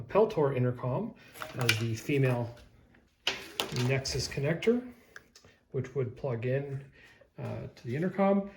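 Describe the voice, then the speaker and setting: A man's voice: mid-range voice, a little mellow. A man giving a casual, unscripted how-to explanation in a plain-spoken, blunt manner. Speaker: DIY tutorial presenter.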